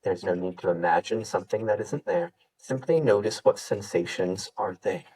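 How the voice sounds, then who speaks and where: A man teaching yoga, leading a guided meditation with gentle, unhurried instructions and pauses. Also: sits low, breathy edge, distant mic, poor audio.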